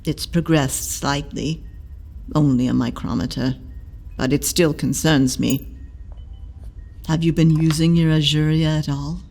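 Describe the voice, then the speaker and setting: A woman playing a blunt, teasing, motherly old matron healer with a creaky voice in an audio drama. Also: low voice, fairly even intonation, measured pace, pauses often, mellow, soft background music. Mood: motherly, brisk. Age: older. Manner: dry, blunt, scolding affection.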